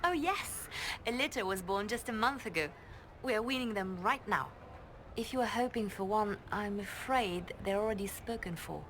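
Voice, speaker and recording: A woman playing a poised, gentle spymaster turned high priestess in a video game, speaking reflectively. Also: moderate pace, slight background noise, lightly acted. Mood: pleased. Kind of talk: video game character dialogue.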